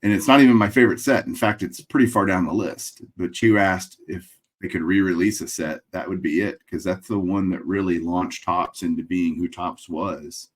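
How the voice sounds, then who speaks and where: A middle-aged man with a Texas accent and a very low voice, speaking over a webcam from a room lined with framed memorabilia. He talks in a relaxed way, with dry jokes, and thinks out loud as he answers. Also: moderate pace. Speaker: guest collector. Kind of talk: casual interview over video call. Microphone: webcam video call.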